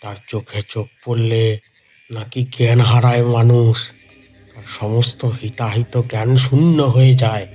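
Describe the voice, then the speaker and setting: A man playing a superstitious village tea-stall keeper in a horror audio drama, giving slow, cautionary words with hushed concern. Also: mid-range voice, steady tone, a little hiss or hum, close to an everyday voice. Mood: wary, cautioning.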